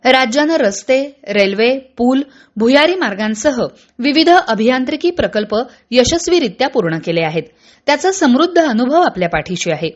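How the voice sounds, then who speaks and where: A woman from reading scripted radio news in a formal, measured manner. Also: high-pitched, animated, lo-fi sound. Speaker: radio newsreader.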